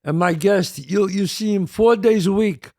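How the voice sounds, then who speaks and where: A man's voice: high voice, moderate pace, darkish tone, no echo, clean studio sound. A man hosting an interview, introducing his guest with enthusiasm and repeating his words. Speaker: host.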